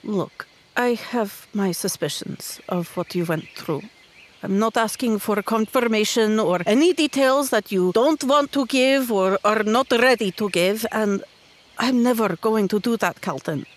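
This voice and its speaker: A woman's voice: a little high, unhurried, close to an everyday voice. A woman playing a determined, fiercely loyal young female adventurer in tabletop roleplay, speaking earnestly and emphatically. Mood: resolute, loyal.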